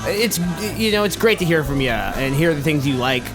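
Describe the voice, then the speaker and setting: Dude on a comedy podcast, voice a bit high, casual talk, music behind. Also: male voice, lively intonation, moderate pace, poor audio. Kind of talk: casual chat.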